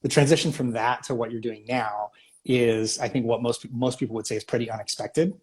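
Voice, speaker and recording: A man's voice: medium pitch, fairly even intonation, brisk, husky. A man hosting a live video call interview, eager and hesitant, asking questions with many "uh"s. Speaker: host. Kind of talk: casual interview chat.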